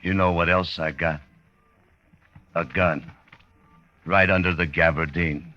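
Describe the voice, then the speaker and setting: A man's voice: deep voice, fairly even intonation, unhurried, pushed and bright. An actor playing a cocky, suspicious American hitman on the run, in clipped, sardonic hard-boiled radio crime drama style. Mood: cool, guarded. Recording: muffled old radio recording with music.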